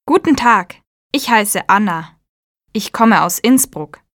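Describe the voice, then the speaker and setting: Casting: a polite teen girl, measured and dry. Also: mid-range voice, lively intonation, fairly bright tone, no room sound. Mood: friendly. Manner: slow, careful self-introduction. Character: teen girl introducing herself. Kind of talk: scripted textbook audio.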